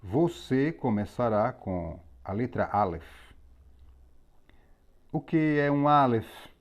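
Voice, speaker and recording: A man teaching a religious lesson on Hebrew letters, explaining methodically with pauses and repetition. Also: medium pitch, expressive. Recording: slightly dull lo-fi recording.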